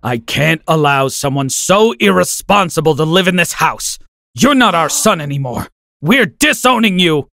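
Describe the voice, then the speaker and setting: A man voicing a harsh, angry middle-aged father who talks sternly in an animated story. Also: a little high, moderate pace, hardly any pauses, no room sound, clean studio sound. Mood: furious. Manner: stern and severe.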